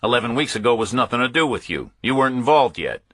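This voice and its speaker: A man's voice: mid-range voice, very animated, fast talker, a bit bright, no room sound, studio quality, pitched above the performer's own voice. Man as a blunt, agitated male agent. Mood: challenging.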